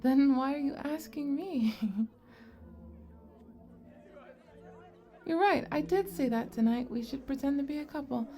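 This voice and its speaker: A woman playing a shy, sweet, nerdy young goblin girl in an ASMR romance roleplay, speaking softly and hesitantly with bashful stammers. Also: high-pitched, moderate pace, some chatter behind. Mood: bashful, playful.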